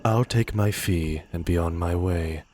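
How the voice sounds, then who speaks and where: Dark elf killer-for-hire, male performer: deep voice, sly, composed, courteous with a mercenary edge. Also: a little slow, soft background music. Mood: businesslike.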